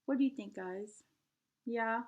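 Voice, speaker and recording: A woman reading a children's picture book aloud in an animated teacherly way, guiding young listeners with questions and prompts. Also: a little high, unhurried, phone-quality sound, noisy background.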